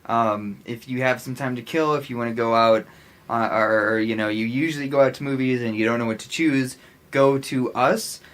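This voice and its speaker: American dude podcast cohost, gushing and emphatic, stop-start, mid pitch. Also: male voice, steady tone, a bit bright. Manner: emphatic, gushing, halting restarts. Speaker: podcast co-host. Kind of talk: casual chat.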